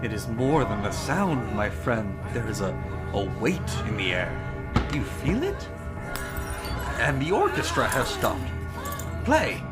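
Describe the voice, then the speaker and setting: A man's voice: medium pitch, huge pitch swings, slow pace, pauses often, over loud music. An actor playing a flamboyant, arrogant prince in an audio drama, grandiose and declamatory as he savors his words. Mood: uneasy, commanding. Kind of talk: theatrical audio drama acting.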